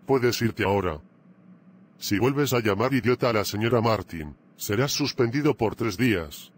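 A man voicing an angry red-faced school principal, loud and scolding. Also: fairly low pitch, lively intonation, moderate pace. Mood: stern.

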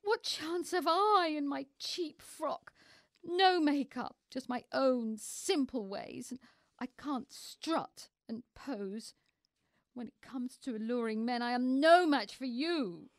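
An actress playing an earnest, naive young wife in a radio play, with a plaintive, theatrical delivery. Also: female voice, high-pitched, takes it slowly, dry recording, clean studio sound. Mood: indignant, self-pitying.

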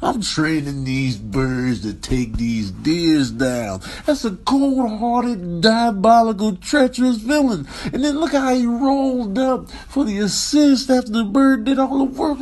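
Animated, exasperated man doing comic voiceover. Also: very high-pitched. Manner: exasperated, exclamatory. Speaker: comedian narrating viral clips.

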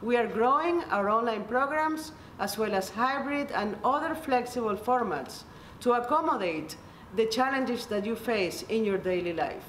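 A woman's voice: fairly high pitch, lively intonation, moderate pace, a bit bright. Echoey Venezuelan-accented middle-aged woman. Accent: Venezuelan Spanish. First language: Spanish. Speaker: associate dean welcoming prospective students. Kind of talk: scripted welcome address. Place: indoor office by large windows. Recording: echoey room with slight background noise.

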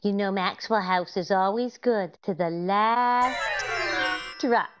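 A woman's voice: medium pitch, slow, muffled recording, music in the background, close to an everyday voice. A middle-aged woman playing a chatty, dreamy housewife in a 1940s radio comedy. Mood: cheery.

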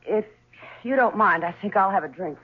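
Thin, phone-like seventies radio drama recording of a cool, defiant woman. Her voice is husky, with expressive swings in pitch. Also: normal speed. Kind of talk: 1970s radio drama acting.

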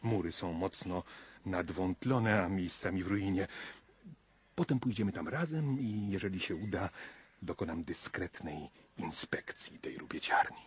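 An actor playing a shrewd, conspiratorial professor in a radio drama, goading and commanding in a rough, husky voice. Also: male voice, sits low, lively intonation, measured pace, full character voice. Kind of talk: 1990s Polish radio drama acting.

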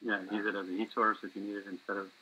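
A man's voice: on the low side, level tone, racing, bright, forceful tone. A man, a company executive, adding brief technical remarks on an earnings call. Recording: faintly noisy conference call.

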